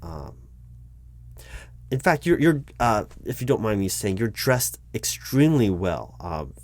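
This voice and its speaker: A man playing a nervous, polite recruiting manager in a job interview roleplay, speaking aloud in a halting, stammering, apologetic way. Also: fairly low pitch, big pitch swings. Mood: flustered, impressed. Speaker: roleplay performer. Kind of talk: one-sided job interview audio roleplay, spoken aloud.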